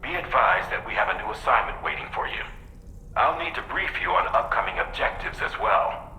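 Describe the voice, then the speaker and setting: A man's voice: mid-range voice, animated, fairly quick, pushed and bright, echoey room, a little hiss or hum, rough recording. An actor playing a businesslike male mission handler, giving an official briefing by radio in a video game.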